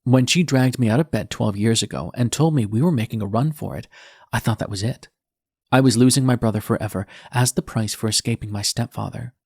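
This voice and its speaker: A man's voice: mid-range voice, brisk, gravelly, darkish tone, breathy edge, dry recording, studio quality. A man narrating an audiobook in the first person as a defiant, bitter young male nurse, with a sardonic, edgy manner. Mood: wry, bitter.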